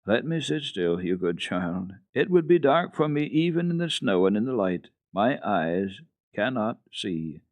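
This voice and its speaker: A man's voice: fairly low pitch, very animated, measured pace, dry recording. Man voicing a mournful old grandmother. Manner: plaintive, sighing delivery.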